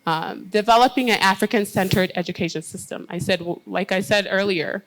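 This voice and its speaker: A woman with an American accent speaking earnestly as a panelist at an education conference. She talks in steady, thoughtful stretches with frequent "um" fillers. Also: mid-range voice, fairly quick, poor audio. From United States.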